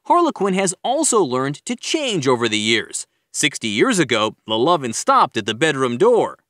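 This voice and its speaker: A man's voice: fairly high pitch, huge pitch swings, moderate pace, a bit bright, no echo, clean studio sound. A man reading a scripted news feature as a reporter, with wry, playful delivery. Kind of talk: scripted narration.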